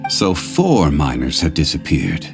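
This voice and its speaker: Deep-voiced, learned gentleman connoisseur. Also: huge pitch swings, vocal fry, exaggerated voice. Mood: thoughtful. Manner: measured, formal, assured. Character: composed, learned gentleman connoisseur of rare stones. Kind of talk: video game voice acting. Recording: background music over game dialogue.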